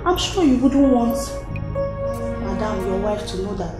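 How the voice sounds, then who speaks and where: An actress as a bold Nigerian housemaid, sarcastic and confrontational, her pitch moving in lively swings. The screen melodrama sounds very echoey, with loud music behind. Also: unhurried, natural voice. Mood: sarcastic, defiant. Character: defiant housemaid blackmailing her cheating boss, sarcastic, bold. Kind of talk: melodramatic screen drama acting.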